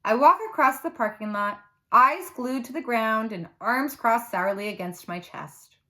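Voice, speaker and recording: A woman reading a children's novel aloud like a teacher, with animated, dramatic emphasis. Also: fairly high pitch, measured pace.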